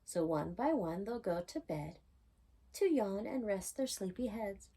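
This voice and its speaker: A woman reading a children's bedtime picture book aloud in a gentle, singsong way. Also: mid-range voice, expressive, unhurried, breathy edge. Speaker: children's storytime reader.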